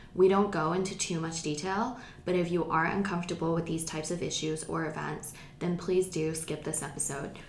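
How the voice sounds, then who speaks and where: A young woman from Hong Kong hosting a podcast, reading in a measured, careful way. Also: on the low side. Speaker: podcast host. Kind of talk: scripted podcast narration. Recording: echoey room with faint noise.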